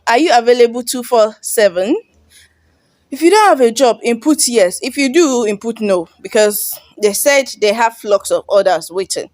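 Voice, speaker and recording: Nigerian woman, Yoruba native speaker, Nigerian English accent, casual tutorial chat, mid pitch, dry close sound. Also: dry recording. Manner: chatty, repeats "you understand?". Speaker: online tutorial host. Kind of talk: casual screen-recording walkthrough.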